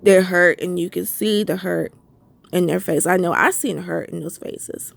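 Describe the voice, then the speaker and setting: A woman's voice: fairly low pitch, steady tone, normal speed, gravelly. A young woman vlogger with a cold, sounding stuffy, ranting heatedly in casual commentary and firing off repeated questions. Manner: heated, repetitive, rhetorical questions.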